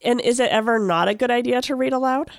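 A woman's voice: fairly high pitch, quick pace, vocal fry, no room sound. Woman radio host with dry, close sound. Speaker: podcast host, radio reporter. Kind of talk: radio narration and conversational interviewing.

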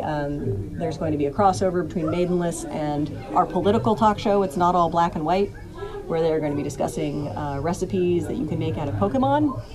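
Low-voiced woman chatting with voices behind her. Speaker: high school radio teacher. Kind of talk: casual interview anecdotes.

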